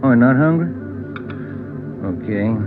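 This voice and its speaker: Casting: male actor, mid-range detective partner, relaxed conversational manner, vintage radio crime drama with phone-like sound. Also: mid-range voice, unhurried, over music, natural voice. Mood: casual, easygoing. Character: easygoing male police detective, the sergeant's partner. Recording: thin, narrow-band old 1940s radio recording.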